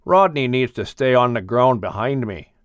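A man's voice: mid-range voice, very animated, normal speed, clear, resonant tone, dry recording, clean studio sound, lightly acted. A man voicing a grumbling, bossy moose with a blunt, grumpy manner in an audiobook. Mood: grudging. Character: grumbling, put-upon male moose, gruffly bossy. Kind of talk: audiobook character voice.

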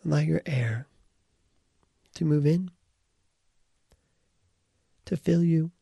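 A man teaches a guided meditation in a dark, relaxed voice with a heavy gravelly fry. He lays out short, deliberate phrases with pauses between them. The sound is dry, with no room around the voice. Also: fairly high pitch, no room sound.

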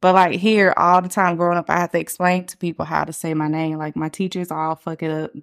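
A woman's voice: sits low, little pitch movement, fairly quick, clear, resonant tone. A woman, hosting a casual podcast chat, talking loosely with lots of fillers. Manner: chatty, lots of "like" fillers, laughs easily. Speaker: podcast host.

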